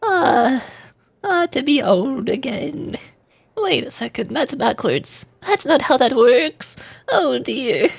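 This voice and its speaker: A woman's voice: high voice, big pitch swings, slow, gravelly, husky, no echo, full character voice. Muddled old lady. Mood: wistful, muddled. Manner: wistful, muddled. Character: doddering, forgetful old lady.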